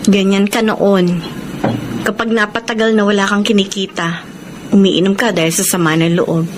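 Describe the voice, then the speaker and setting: A woman's voice: medium pitch, steady tone, moderate pace, noisy background, natural voice. A woman acting a devoted, loving young wife in a Filipino radio drama, emotive and pleading, with a Filipino accent. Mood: sad, gently reproachful.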